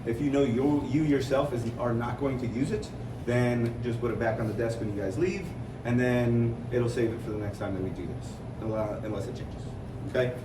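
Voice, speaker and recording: A man's voice: medium pitch, normal speed. American man coaching in a noisy, echoey room. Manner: conversational, rambling, explains with analogies. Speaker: fitness coach leading a seminar. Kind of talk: informal seminar talk to a group. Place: room with an audience, mic at a distance. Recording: roomy, distant mic, clear background noise.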